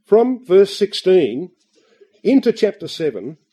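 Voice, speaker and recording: A man with a husky, congested voice from the flu, giving an earnest, measured Bible study talk with frequent fillers. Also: high voice, very animated, warm tone. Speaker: visiting Bible study speaker.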